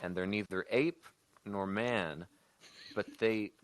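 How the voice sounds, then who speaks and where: Male podcast co-host, low voice, dry close sound, pitches stories informally with frequent rewording. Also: dry recording, rough recording. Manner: halting, often backtracking and rewording mid-sentence. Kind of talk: casual creative discussion, improvised story pitch.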